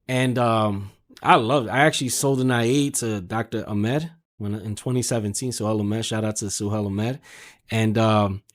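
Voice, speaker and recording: A man's voice: lowish voice, steady tone, normal speed. A man speaking as a podcast guest and car salesman, telling casual, slangy anecdotes and acting out quotes.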